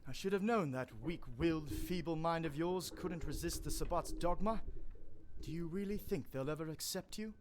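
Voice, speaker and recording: A man's voice: a little high, moderate pace, noisy background, natural voice. An actor playing an arrogant male vampire prince who speaks in a haughty, imperious way. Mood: scornful. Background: game music.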